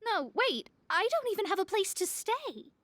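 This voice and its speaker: A prickly young astrologer for a video game, with a very high voice that leaps up and down. She talks with haughty pride but trips over her words when caught out. The recording is dry and close. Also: big pitch swings, moderate pace, fairly bright tone, dry recording, full character voice. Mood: flustered. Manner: haughty, stammers when embarrassed. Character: proud young female astrologer, prickly and flustered. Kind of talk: game voice acting.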